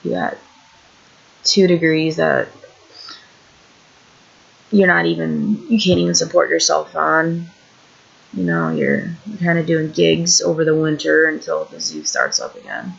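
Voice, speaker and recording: A woman's voice: low voice, very animated, unhurried, gravelly, long pauses, breathy edge, lo-fi sound. A woman of about thirty from Illinois, talking in a rambling, frustrated and self-deprecating way about her troubles for her vlog, sitting on a couch at home. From Illinois, USA. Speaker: vlogger. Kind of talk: candid personal vlog rant. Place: indoors on a couch.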